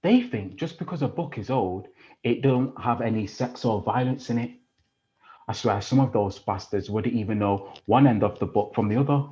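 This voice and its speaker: A man plays a foul-mouthed working-class youth, sneering and quick to threaten. He talks at an ordinary pitch, and the recording is a touch muffled. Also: mellow, natural voice. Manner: swearing, menacing, mocking. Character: rough working-class British boy, aggressive, crude, defensive. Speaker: actor in a stage play. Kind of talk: audio drama acting.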